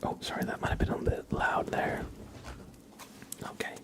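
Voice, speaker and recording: A man hosting an ASMR livestream, giving card readings in a gentle, halting way as he thinks aloud. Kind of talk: whispered ASMR, casual tarot card reading.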